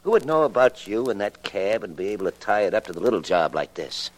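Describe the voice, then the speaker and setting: An actor playing a surly, menacing small-time crook in a late 1940s radio drama, with gruff, impatient, slangy tough talk. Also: male voice, on the low side, sing-song, fast talker, low-quality recording.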